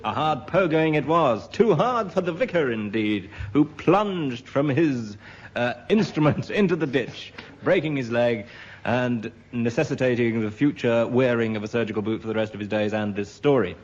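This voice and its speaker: A man's voice: mid-range voice, expressive, moderate pace. A man, a comedy panellist improvising a comic story. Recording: dull radio recording, noise and audience.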